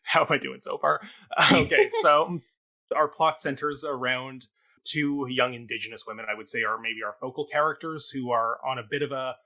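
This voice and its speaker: Bright-voiced, rambling Canadian man. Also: mid-range voice, fairly even intonation, normal speed. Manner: rambling, hesitant, self-deprecating. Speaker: co-host and reviewer. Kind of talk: casual chat, improvised synopsis.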